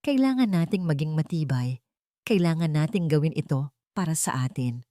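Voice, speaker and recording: A woman's voice: low-pitched, animated, moderate pace, warm tone, no echo, clean studio sound. A woman voicing a controlling, possessive male lover in an audiobook drama, insistent and threatening. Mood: stern.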